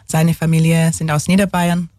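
A woman's voice: deep voice, little pitch movement, moderate pace, a little mellow, no echo, clean studio sound. English woman speaking German, hesitant. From England. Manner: hesitant, many fillers, mixes in English words. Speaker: guest, single mother. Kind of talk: casual interview.

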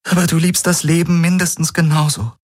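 A woman's voice: on the low side, little pitch movement, brisk, darkish tone, no echo, clean studio sound, voice pushed up, natural voice. A man voicing a grieving young woman in an audiobook, pleading as her voice breaks. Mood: sorrowful.

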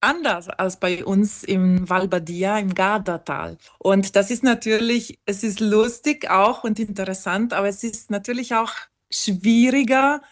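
A woman from South Tyrol whose first language is Ladin, talking as a guest in an interview. She explains things at length, with many pauses and fillers. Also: mid-range voice. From South Tyrol, Italy. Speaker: musician guest. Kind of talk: casual interview.

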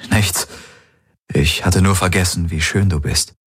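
A man voicing a wounded young man just woken from injury in a science fiction audiobook, speaking low and haltingly. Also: a bit bright, slightly rough, no echo, clean studio sound, exaggerated voice. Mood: weary. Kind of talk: audiobook character voice.

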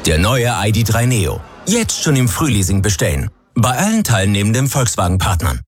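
Male advert announcer, fairly low, animated, scripted sales read, faint music. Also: normal speed. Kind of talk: scripted car ad tag.

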